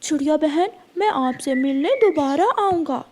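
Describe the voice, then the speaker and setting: Woman voicing a kind high-pitched cartoon firefly. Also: lively intonation, moderate pace, a little mellow. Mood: friendly. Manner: earnest, courteous. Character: small, kind, selfless male firefly uncle.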